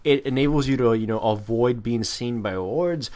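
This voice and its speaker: A man's voice: mid-range voice, moderate pace, darkish tone. A man giving casual, off-the-cuff gameplay commentary, rambling and correcting himself in crude, slangy language. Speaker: gaming video commentator.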